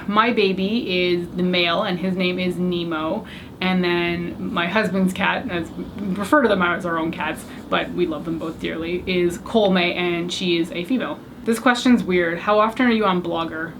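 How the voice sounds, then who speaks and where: A young woman speaks straight to her camera in a candid, chatty way. French was her first language, though she talks easily in English now. Her voice sits fairly low, with a faint hum of room ambience underneath. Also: a bit bright. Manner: chatty, candid, self-deprecating. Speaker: beauty YouTuber. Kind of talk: casual Q&A tag video.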